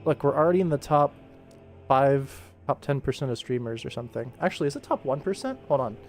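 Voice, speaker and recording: A man's voice: medium pitch, lively intonation, fast, a little mellow, faint music behind. A man hosting a casual livestream, thinking aloud in a laid-back way as he works. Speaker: animator, livestream host. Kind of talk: casual livestream work commentary.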